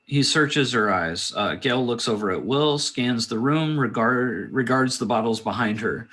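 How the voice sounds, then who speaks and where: A man's voice: mid-range voice, lively intonation, measured pace, fairly bright tone. A man directing a table read, reading stage directions aloud and adding casual asides.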